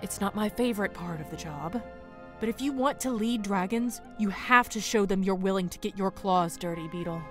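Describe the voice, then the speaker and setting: A woman's voice: mid-range voice, moderate pace, soft background music, close to an everyday voice. A woman playing a tough but motherly female dragon who leads outlaws, firm and resolute, in an audio drama. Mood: grim.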